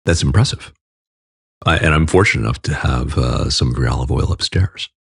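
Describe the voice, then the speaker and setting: A man hosting a podcast interview with a very low, gravelly voice, asking friendly questions. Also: brisk, no room sound, clean studio sound. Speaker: podcast host.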